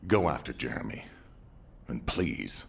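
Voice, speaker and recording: A man playing a grave, weary but resolute military commander in a video game, with a husky, gravelly voice and a stern, formal delivery. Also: a bass voice, very animated, measured pace, fairly bright tone, heavily acted. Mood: somber. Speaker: commander giving mission briefings. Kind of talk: dramatic video game briefing.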